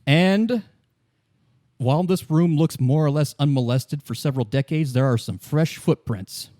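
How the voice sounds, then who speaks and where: A man narrating an improvised tabletop game as game master, in a halting, conversational way. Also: a little high, dark tone, dry recording, clean studio sound.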